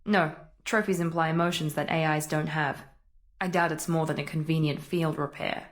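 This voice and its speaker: Woman acting as assertive sci-fi officer, female, low voice, formal, precise, composed. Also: steady tone, moderate pace, lightly acted. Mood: cool, insistent. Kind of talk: video game voice acting.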